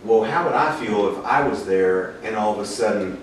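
A man's voice: lowish voice, moderate pace, a little hiss or hum. A man chiming in agreeably during a casual roundtable discussion. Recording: echoey room from far off.

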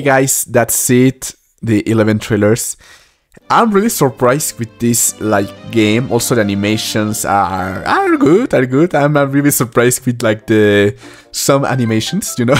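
A man's voice: mid-range voice, lively intonation. Young guy doing a casual reaction vid, stumbles and repeats himself, bit of music behind. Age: 20s. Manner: halting, repetitive phrasing with filler words. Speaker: reaction video host, musician. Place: small indoor room at a desk.

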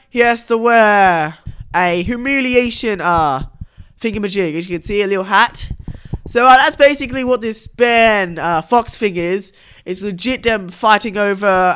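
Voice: medium pitch, bright, forceful tone, clean tone. A young adult YouTuber giving casual reaction commentary on game cutscenes.

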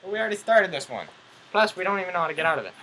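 Animated, high-pitched young man, noisy recording. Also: rapid-fire. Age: young adult. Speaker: co-host of a gaming video. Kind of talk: casual let's play banter. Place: small home room, indoors.

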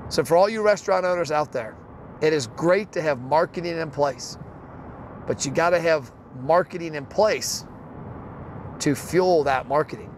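A man with a fairly high voice hosts a podcast, blunt and fired up, cursing freely as he makes his point. He is recorded on the road, with faint traffic and car noise behind him. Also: moderate pace. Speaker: podcast host. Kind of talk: casual solo podcast rant.

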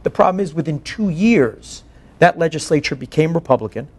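A man's voice: fairly high pitch, expressive, brisk, darkish tone. A man in his forties giving a lecture in an earnest, emphatic way, tagging points with "right?". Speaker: guest lecturer, public defense advocate. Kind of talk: lecture to a faculty colloquium with questions. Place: meeting room, indoors.

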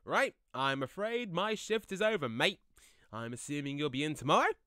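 A man's voice: on the high side, lively intonation, moderate pace, sharp tone, dry recording, clean studio sound. A man acting in an audio play as a jokey, laid-back ghost with a casual, slangy, rambling way of talking. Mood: breezy, goofy. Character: chatty, jokey young male ghost, laid-back slacker.

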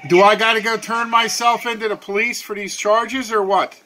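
Loud, bright-voiced man stringing along a scammer. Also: high voice, level tone, normal speed, rough recording. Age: 40s. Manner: playing dumb, loud and earnest on the phone. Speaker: prank caller baiting a phone scammer. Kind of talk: deadpan prank phone call. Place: small cluttered room at home, indoors. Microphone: camera on a table, phone held to ear.

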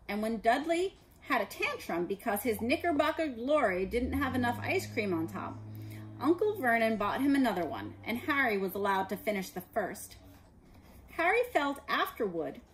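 A woman in her forties reads a storybook aloud for children. Her voice is fairly high and very lively, moving up and down expressively through the narration. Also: normal speed, poor audio. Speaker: storybook reader. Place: living room indoors.

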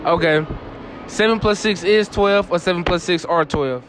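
A man's voice: high-pitched, moderate pace, fairly bright tone, soft background music. A young man interviewing strangers in a shopping mall, repeating their answers back and laughing often. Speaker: street interviewer. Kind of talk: casual street interview. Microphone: handheld phone.

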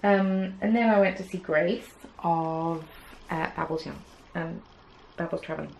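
A young woman talks yarn to the camera in a small craft room, her lowish voice carrying a gravelly, creaky edge. She rambles cheerfully, full of "um"s and little jokes, and a faint hiss of room noise sits under her speech. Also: steady tone, measured pace, darkish tone, lo-fi sound. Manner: chatty, rambling, many "um"s, playful asides. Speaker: knitting podcast host. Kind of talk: casual chat to camera. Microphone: camera at desk distance.

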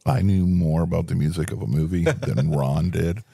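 A man's voice: deep voice, normal speed, vocal fry, dark tone, husky. A man co-hosting a movie podcast, bantering casually and teasingly with plenty of swearing. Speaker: podcast co-host. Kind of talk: casual movie review chat.

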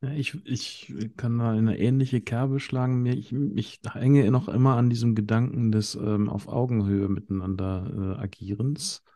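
A man in a casual panel discussion, talking haltingly and repeating words as he searches for them. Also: mid-range voice, steady tone, mellow, no echo, studio quality. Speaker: podcast panelist.

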